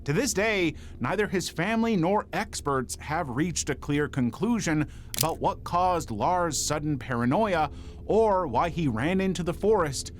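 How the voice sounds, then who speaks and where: A man's voice: on the high side, expressive, a little slow, slight background noise. A man reading scripted true-crime narration as a narrator.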